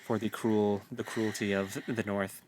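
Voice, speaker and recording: A man's voice: fairly low pitch, little pitch movement, measured pace, darkish tone, slight background noise. A man co-hosting a book club, retelling and discussing a story in casual, informal language.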